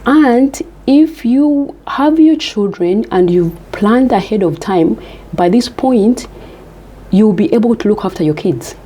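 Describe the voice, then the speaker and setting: A woman's voice: medium pitch, lively intonation, slow, warm tone, slightly rough. A woman from Uganda, giving earnest, emphatic life advice to camera and repeating her key points.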